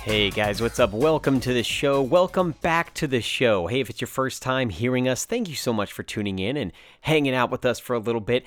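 A man's voice: medium pitch, lively intonation, fairly quick, hardly any pauses, fairly bright tone, no room sound, faint music behind. An American man hosting a podcast, chatty and enthusiastic, with fillers and digressions. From United States. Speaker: podcast host. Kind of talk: casual podcast chat.

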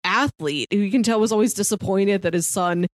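A woman co-hosts a casual review podcast. She tells things in an animated, chuckly way at an ordinary female pitch. The sound is dry, with little room on it. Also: brisk, no room sound. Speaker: podcast co-host.

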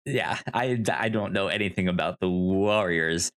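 A man co-hosting a podcast in casual chat, with a halting, stammering delivery. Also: low-pitched, fairly bright tone. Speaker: podcast co-host.

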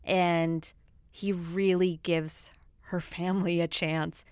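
A woman's voice: fairly low pitch, little pitch movement, slow pace, darkish tone, clear, resonant tone, no room sound, studio quality. A woman who grew up speaking Swahili, talking at length in a casual film discussion, outspoken, with many fillers.

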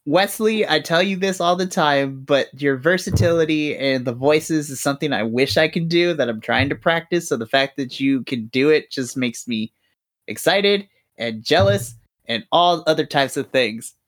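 A man's voice: on the high side, normal speed, fairly bright tone. Self-deprecating man asking questions. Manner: self-deprecating, laughs often. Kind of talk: casual chat.

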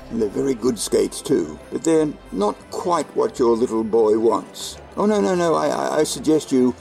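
Male actor for merry old Santa in radio play; creaky, very animated, genial, kindly. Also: medium pitch. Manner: chuckling, hesitant repetitions, genial.

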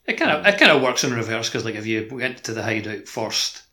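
A man's voice: medium pitch, very animated, brisk, bright, forceful tone. A man co-hosting a podcast, chatting casually and loosely about a video game. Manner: loose, informal, frequent "like". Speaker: podcast co-host.

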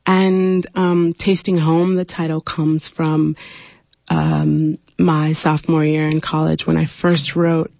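A young adult woman poet from Nigeria, talking in a radio interview with thoughtful pauses and fillers. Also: low-pitched, level tone, vocal fry. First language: Igbo. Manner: raised, pointed delivery when reading poetry. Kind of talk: radio interview, then poetry reading. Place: radio studio. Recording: muffled dry radio studio sound.

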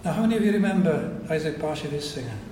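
A man's voice: expressive, very fast, a little mellow, a little hiss or hum. Welsh-accented older man lecturing in a hall. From Wales. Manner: reflective, with frequent restarts and trailing pitch. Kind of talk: public lecture. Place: lecture hall at a society event. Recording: echoey hall recording.

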